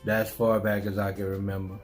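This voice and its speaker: Middle-aged New Orleans man, low and even delivery, reflective vlog storytelling, faint music underneath. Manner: unhurried, musing, repeats himself with restarts. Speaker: vlogger telling his life story.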